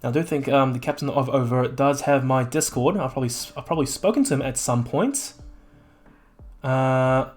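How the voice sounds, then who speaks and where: A man working as an esports caster and interviewer, with a slightly croaky voice and an excitable way of talking. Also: mid-range voice, normal speed. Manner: excitable, exclaiming at big plays. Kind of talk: live game commentary and post-match interview.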